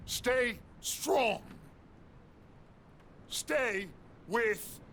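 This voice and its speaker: An actor playing a grand, reassuring American outlaw gang leader in a cinematic game, speaking in rousing, folksy, deliberate oratory. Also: male voice, very high voice, lively intonation, a bit bright, a little hiss or hum, full character voice. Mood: rallying, reassuring.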